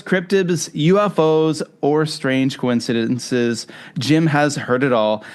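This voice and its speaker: A man from Canada with a Canadian accent, hosting a podcast in a conversational interview style. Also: a little high. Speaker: podcast host.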